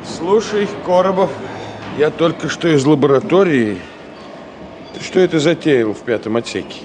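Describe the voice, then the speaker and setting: A man's voice: fairly high pitch, expressive, normal speed, close to an everyday voice. An actor playing a middle-aged senior engineer in a radio drama, scolding and teasing in a folksy, ironic, fatherly way. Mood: stern, sarcastic. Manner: folksy, ironic, chiding with rhetorical questions. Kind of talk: Soviet radio drama acting. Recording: noisy 1970 radio play recording.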